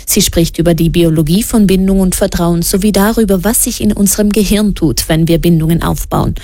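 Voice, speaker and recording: A woman presenting regional radio news in a steady, measured read. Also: on the low side, dry recording. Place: radio studio. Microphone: studio microphone.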